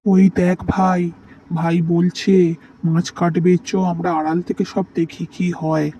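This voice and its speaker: A man voicing a greedy, scheming brother in an animated folktale, speaking in a conspiratorial, dramatic way. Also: high voice, slow pace, a little hiss or hum, poor audio.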